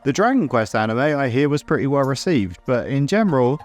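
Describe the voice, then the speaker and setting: A man's voice: mid-range voice, sing-song, a little fast, faint music behind. A man narrating a scripted video essay in a conversational, opinionated way, with casual asides. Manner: conversational, opinionated, mildly sweary.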